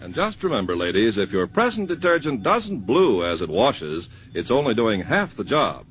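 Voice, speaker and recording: A man's voice: huge pitch swings, moderate pace, a bit bright, a little hiss or hum. A man delivering a persuasive 1950s radio commercial as the announcer. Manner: smooth, persuasive pitch.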